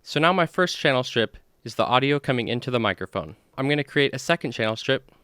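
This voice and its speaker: A man presents an instructional software walkthrough in a clean, crisp voice. His pitch sits in the middle range and the sound is close and dry, with no room ring. He explains things plainly, one step at a time. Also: animated, fast, dry recording. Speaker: tutorial host.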